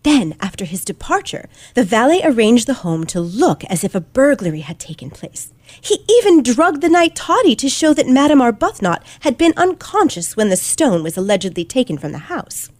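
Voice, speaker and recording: A woman playing a confident, sharp teenage girl detective in an old-fashioned radio play, with precise, theatrical delivery. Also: a little high, very animated, normal speed, dry recording. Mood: explaining, relishing. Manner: theatrical, precise, dramatic emphasis.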